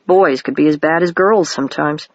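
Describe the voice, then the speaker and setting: An even-paced audiobook narrator reading a children's novel. The voice sits at a middle pitch. The recording is dry and dull, like a home setup. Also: normal speed, dry recording. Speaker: audiobook reader.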